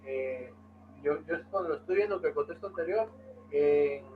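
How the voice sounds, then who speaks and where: A man's voice: a little high, moderate pace, phone-quality sound, slight background noise. A man in an online Bible study, earnestly explaining a passage over a video call. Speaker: study participant.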